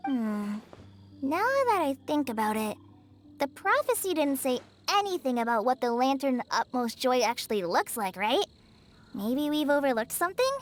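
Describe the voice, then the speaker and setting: An actress playing a gentle, nervous, supportive young woman in a video game. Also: sits high, sing-song, normal speed. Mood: puzzled.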